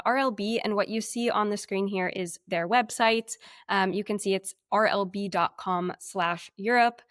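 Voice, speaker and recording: A woman's voice: mid-range voice, dry recording, clean studio sound. A woman co-presenting a webinar, talking through a shared screen in a conversational, explanatory way.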